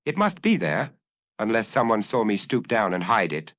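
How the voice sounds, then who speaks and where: A man voicing a dejected, fearful murderer in an audiobook, dull, halting and desperate. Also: fairly low pitch, very animated, a bit bright, no echo, studio quality. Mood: anxious. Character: dejected, fearful murderer selling his secret. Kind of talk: audiobook character acting.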